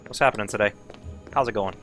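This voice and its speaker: A man's voice: on the low side, quick pace, fairly bright tone, soft background music. Chatty man streaming a speedrun. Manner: casual, talkative, swears when frustrated. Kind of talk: live gameplay commentary, chatting to viewers.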